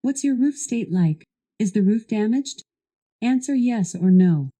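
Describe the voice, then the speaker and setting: Very mellow, dark-voiced female assistant. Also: a little high, animated, a little slow. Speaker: voice assistant guiding a product demo. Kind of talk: scripted instructional narration.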